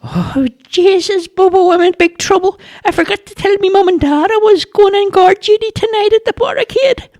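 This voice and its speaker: A man voicing Charlie, a nervous excitable young man, shouting in a squeaky high voice. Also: drawn-out words, heavily acted. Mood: alarmed.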